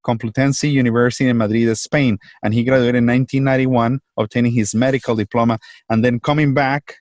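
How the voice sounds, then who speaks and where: A man's voice: medium pitch, fairly even intonation, brisk, a little mellow, dry recording. Man hosting, close and dry. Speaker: podcast host and interviewer. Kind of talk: formal, enthusiastic interview introduction.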